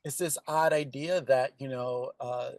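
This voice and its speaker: A man answering questions as a screenwriter, speaking conversationally in a thoughtful, halting way. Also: mid-range voice, fairly even intonation, darkish tone, no room sound.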